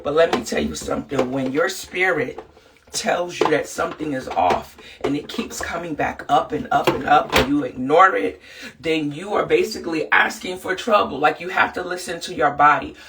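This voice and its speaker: A woman's voice: sits low, moderate pace, vocal fry, distant mic, heavy background noise. A woman hosting a podcast, a little congested from the flu, talking earnestly and loosely with lots of fillers. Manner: earnest, rambling, many fillers. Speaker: podcast host and counselor. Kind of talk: casual motivational talk.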